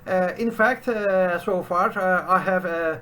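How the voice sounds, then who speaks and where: A middle-aged man with a Turkish accent, a non-native English speaker, teaching programming from a home study, pausing often with "uh" fillers and restarts. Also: high voice, a bit bright. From Turkey. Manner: halting, constant "uh" fillers and restarts. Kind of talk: unscripted screen-share tutorial.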